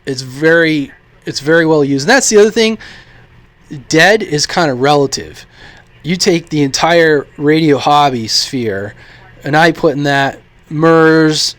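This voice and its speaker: Higher-voiced American man, casual and blunt. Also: measured pace, a bit bright, no echo. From United States. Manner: informal, blunt, rambling with asides. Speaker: CB radio hobbyist vlogger. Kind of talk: casual opinionated vlog monologue.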